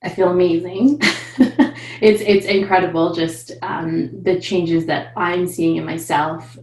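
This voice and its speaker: A woman's voice: lowish voice, slow. Upbeat woman on an echoey video call. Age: 30s. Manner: animated, upbeat, says she is loud. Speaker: coaching client. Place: home room with bookshelves. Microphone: video call webcam.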